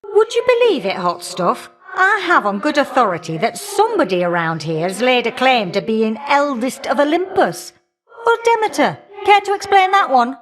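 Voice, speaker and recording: A woman's voice: sits high, very animated, normal speed, fairly bright tone, soft background music. A woman voicing a feisty, folksy elder goddess of flame in a video game, sassy and affectionately teasing. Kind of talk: video game character dialogue.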